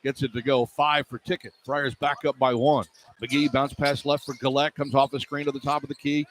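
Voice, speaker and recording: A man's voice: mid-range voice, level tone, normal speed. A man calling live basketball play-by-play for radio in clipped, running phrases from an indoor arena. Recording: live arena broadcast with background noise. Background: faint arena noise.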